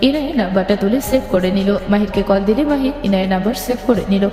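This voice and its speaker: Casting: female story narrator, medium pitch, reverberant sound with clear music underneath. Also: quick pace, dark tone.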